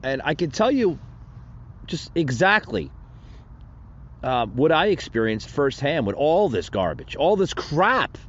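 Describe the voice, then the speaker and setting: A man's voice: a little high, sing-song, unhurried, lo-fi sound, a little hiss or hum. A man hosting a solo political commentary podcast, rambling and indignant, with many fillers and restarts. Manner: indignant and preachy. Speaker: podcast host.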